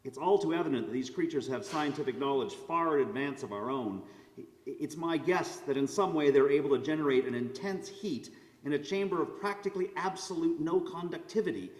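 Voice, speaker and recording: A slightly high male voice echoes in a large hall. A man plays a learned scientist, deliberate and academic, lecturing in a live radio drama. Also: animated, natural voice. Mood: pondering. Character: learned male scientist professor explaining his theory. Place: live performance in a church.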